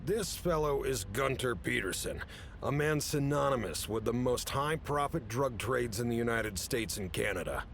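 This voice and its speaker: A man playing an authoritative, businesslike chief who briefs agents in a measured way in an audio drama. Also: animated, vocal fry, a bit bright. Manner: authoritative, measured, matter-of-fact. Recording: rumbly background noise.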